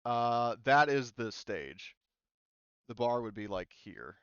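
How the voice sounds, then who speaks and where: Smooth-voiced man running a game, slightly dull sound. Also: medium pitch, sing-song, slow, fairly bright tone, no room sound. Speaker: game master. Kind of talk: narrating a tabletop roleplaying game.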